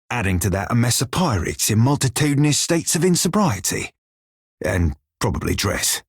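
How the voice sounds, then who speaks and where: An actor playing a wry, crafty pirate sailor in a video game, speaking in salty, colloquial seafaring dialect with a husky, rough-edged voice. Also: male voice, lowish voice, dry recording, clean studio sound, heavily acted. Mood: wry, jaunty. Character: roguish male pirate sailor, wry, crafty, loyal crewmate. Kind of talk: scripted video game dialogue.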